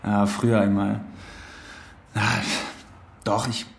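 Echoing, calm male prisoner. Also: on the low side, slow pace, creaky. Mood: calm, reserved. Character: calm, cultivated male long-term prison inmate. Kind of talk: naturalistic radio drama acting. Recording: very echoey cell-like room, faint music.

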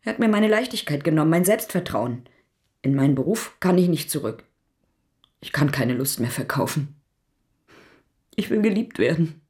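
Radio play role: proud, wounded former dominatrix out for revenge, notably low female voice. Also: expressive, normal speed, long pauses, a little mellow. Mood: bitter, heartbroken. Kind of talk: radio drama acting.

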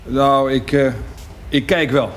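An actor playing a brusque, dutiful young farmer in a radio drama, answering in clipped, offhand phrases. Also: male voice, mid-range voice, fairly even intonation, slow, a little hiss or hum, close to an everyday voice. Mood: hesitant, polite. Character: brusque young farmer son, short-tempered but dutiful.

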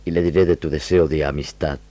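Honorable frontier lieutenant, low register, sober and humane, formal radio-serial delivery. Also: male voice, steady tone, mellow, no room sound. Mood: earnest, sober. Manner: earnest, measured formal phrasing. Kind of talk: radio drama acting.

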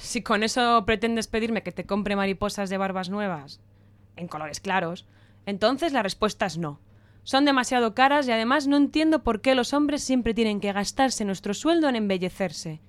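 A woman's voice: medium pitch, lively intonation, moderate pace, natural voice. A woman playing a domineering, stingy director and head of household in a radio drama, curt and dismissive.

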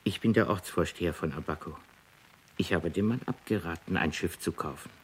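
A man's voice: low-pitched, brisk, husky. An actor playing a sober, cautious island village headman giving matter-of-fact testimony in a radio play.

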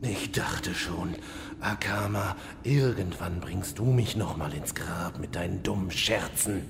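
A very gravelly demon lord played by a man speaks in a long reverb over faint noise. He is vain, envious and insecure, ranting with theatrical exasperation in a fantasy parody audio drama. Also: low voice, a little slow. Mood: irritated.

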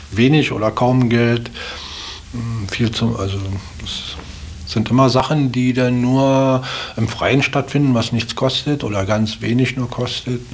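A man's voice: medium pitch, gravelly, slightly rough. A man reflecting casually and personally in an interview, searching for words and often adding little tag questions. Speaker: interviewee in a radio feature. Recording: hissy recording with steady background noise.

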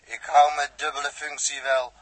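Gruff, raspy space crewman. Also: a little high, little pitch movement, normal speed, fairly bright tone, rough recording. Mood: wary. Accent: Netherlands Dutch. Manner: blunt, grudging, terse. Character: gruff, distrustful male spaceship crewman standing guard. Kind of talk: 1960s Dutch radio drama acting. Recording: 1960s radio drama recording.

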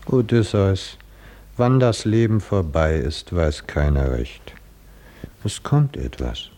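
An actor in a 1950s German radio drama playing a calm, consoling companion with a measured, solemn delivery. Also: male voice, low voice, a little hiss or hum. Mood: grave, consoling. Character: short-sighted male companion of Odysseus, calm and consoling.

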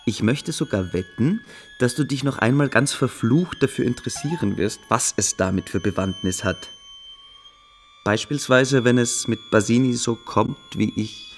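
A man's voice: mid-range voice, moderate pace, soft background music, natural voice. An actor playing a scornful, dogmatic older schoolboy who lectures his friend condescendingly in a German literary radio drama. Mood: smug, condescending.

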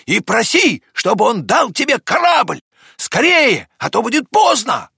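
A man voicing an excitable, urgent female dog in an animated children's storybook reading, exclaiming insistently. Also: high voice, unhurried, vocal fry, slightly rough, no echo, clean studio sound, pitched above the performer's own voice, exaggerated voice.

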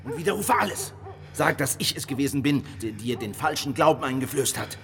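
An actor playing a calm, resolute leader of a secret heretical circle in a German radio drama, speaking with assured persuasion. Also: male voice, mid-range voice, a little fast. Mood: urgent, commanding.

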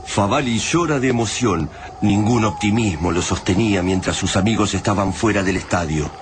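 A man's voice: fairly low pitch, moderate pace, noisy background. A man with an Argentine accent giving solemn, scripted narration for a radio drama in a literary manner.

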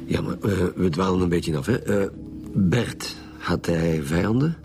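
An actor playing a suspicious Flemish homicide detective in a radio drama, asking terse, probing questions. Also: male voice, low-pitched, animated, a little slow. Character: lead homicide detective, middle-aged man, probing and suspicious. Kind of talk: naturalistic radio drama acting.

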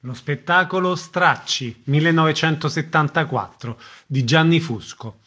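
A man's voice: fairly high pitch, a little slow, sharp tone. A man working as a radio announcer, reading scripted station and programme announcements.

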